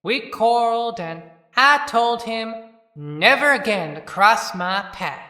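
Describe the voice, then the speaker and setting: A man's voice: extremely high pitch, lively intonation, slow, bright, forceful tone, distant mic, soft background music, exaggerated voice. A man voicing a brazen, unfaithful small-town young woman in a knowing audio-play monologue. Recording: long cavernous reverb.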